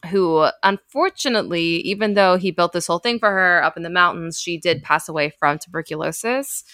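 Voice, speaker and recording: A chatty woman hosts a casual storytelling podcast. She talks in an animated, loose way, full of filler words and playful sound effects. Her voice sits a little low but comes across bright and forceful, recorded close and dry. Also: brisk, dry recording. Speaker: podcast host.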